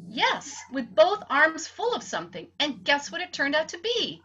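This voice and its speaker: A woman's voice: sits high, huge pitch swings, normal speed, a bit bright, full character voice. A woman playing a mischievous young girl, an artless little sister telling tales eagerly in a radio play, on a dull recording. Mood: eager, teasing. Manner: teasing, eager storytelling. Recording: dull lo-fi sound, faint noise.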